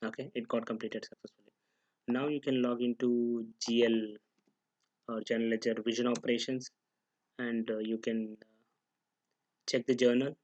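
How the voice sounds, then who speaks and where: A man giving an unscripted, step-by-step software tutorial, halting and pausing mid-sentence as he goes. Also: medium pitch, flat delivery, dull audio, a little hiss or hum.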